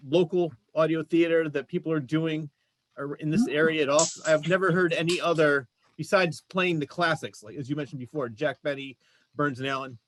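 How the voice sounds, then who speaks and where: A man's voice: fairly high pitch, fairly even intonation, moderate pace, fairly bright tone, poor audio. A man hosting a casual interview show, talking in an enthusiastic, complimentary way. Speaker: show host. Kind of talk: casual chat, interviewing guests.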